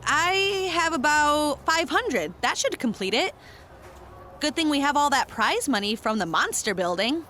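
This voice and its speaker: A woman playing an excitable, casual sidekick in an audio drama, expressive and slangy. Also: high voice, unhurried, a little hiss or hum, heavily acted. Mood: excited, friendly. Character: Cat, excitable, casual, easily amazed female sidekick. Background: music.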